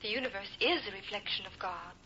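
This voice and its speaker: An actress playing a gentle, overwhelmed woman in 1950s radio drama style, speaking hesitantly and politely with a breathy voice. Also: mid-range voice, fast talker, lightly acted. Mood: shy, reverent. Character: gentle, devout young woman from the past, overwhelmed. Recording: muffled, noisy 1950s radio recording.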